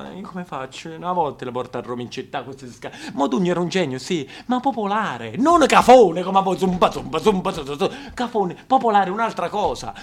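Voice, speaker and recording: A man delivering an exasperated comic monologue on a theater stage, swinging from loud rants to hushed, dramatic lines. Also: high-pitched, creaky. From Apulia, Italy. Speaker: stage actor.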